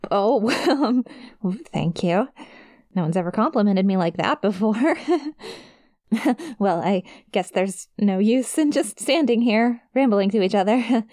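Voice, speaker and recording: A woman's voice: fairly high pitch, animated, slow, long pauses, dark tone, dry recording, clean studio sound. A woman playing a friendly, awkward young succubus demon in an intimate ASMR roleplay, with a shy, casual, hesitant manner. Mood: bashful, hopeful. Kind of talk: ASMR roleplay, intimate one-sided conversation to the listener.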